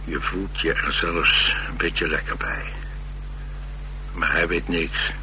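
Gruff-talking world-weary dutch driver, radio play, really low voice, slow, bit of hiss. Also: male voice, little pitch movement, pushed and bright, full character voice, low-quality recording. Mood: grim, intense. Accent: Netherlands Dutch. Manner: sardonic, colloquial, confiding. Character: blunt, world-weary male chauffeur and streetwise fighter. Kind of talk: radio drama acting, colloquial storytelling. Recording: radio drama with faint hum and noise.

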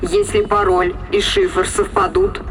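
A woman playing a spaceship's obedient computer in an audio drama, speaking in formal, measured, emotionless announcements. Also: mid-range voice, little pitch movement, close to an everyday voice. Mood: flat, obedient.

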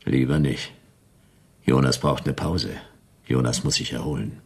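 A man's voice: very deep voice, unhurried, gravelly, husky, no echo, exaggerated voice. An actor playing a sardonic private detective who narrates his case in laconic, clipped sentences in a noir radio play. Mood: wry, weary.

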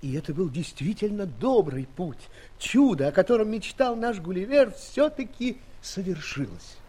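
An actor playing a wry storyteller, narrating a fairy tale with theatrical, expressive delivery and dramatic pauses. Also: male voice, high-pitched, slight background noise. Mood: wondering. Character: wry male storyteller addressing young friends. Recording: 1970s studio audio play, music behind.

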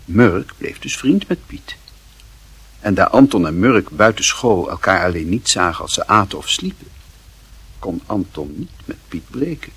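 Husky low-voiced male narrator. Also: big pitch swings, measured pace, fairly bright tone. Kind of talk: scripted literary narration of a radio play.

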